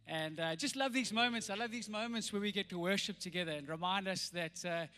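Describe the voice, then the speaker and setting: A middle-aged South African man with a slightly croaky voice, praying and preaching a sermon with earnest, rising emphasis. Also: sits high, brisk, sharp tone. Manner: earnest, builds to rousing emphasis. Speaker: pastor. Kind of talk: sermon with prayer.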